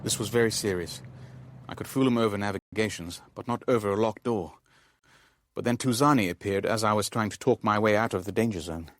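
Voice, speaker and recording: An actor playing a cool-headed ship owner who bluffs and narrates his own thriller in a measured, controlled way for a radio drama. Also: lowish voice, no room sound, natural voice. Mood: tense narration. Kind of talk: radio drama acting and first-person narration.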